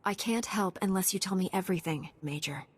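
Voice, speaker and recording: An actress playing a calm, resolute female knight in a video game, speaking in a firm, matter-of-fact way. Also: medium pitch, a little fast, breathy edge, natural voice.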